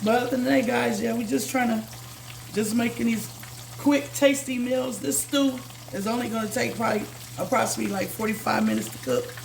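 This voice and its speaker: A woman hosting a casual home cooking vlog, chatty and repetitive, her voice slightly hoarse from a recent illness. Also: fairly high pitch, a little fast, a little hiss or hum, low-quality recording. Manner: chatty, says "guys" constantly, repeats herself. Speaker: cooking channel host. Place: home kitchen. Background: food sizzling in a pan.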